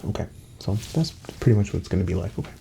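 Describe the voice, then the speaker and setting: A man speaks very low and soft in an ASMR medical roleplay, with a creaky edge to his voice. He plays a doctor giving gentle, reassuring, unhurried instructions and often drops into a breathy whisper. The tone is very mellow and dark, recorded close to the microphone. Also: lively intonation, a little hiss or hum. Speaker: ASMR performer playing a doctor.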